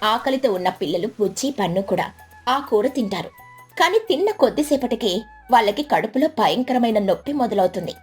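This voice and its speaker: High, husky woman narrating a children's story. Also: very animated, a little fast. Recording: background music bed.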